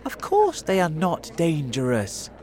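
Man as small storybook boy, raised pitch, cheerful, excited, friendly, eager. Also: a little slow. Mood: reassuring.

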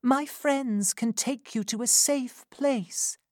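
A woman voicing a kind, gentle young lady who speaks softly in a storybook reading. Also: a little high, slow, no room sound, studio quality, pitched above the performer's own voice. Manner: soft and gentle.

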